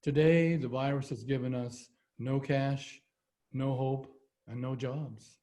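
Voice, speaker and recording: A man's voice: mid-range voice, fairly even intonation, takes it slowly, warm tone. A man performing a reading of jokes and short comic stories over a video call. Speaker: readers theater performer.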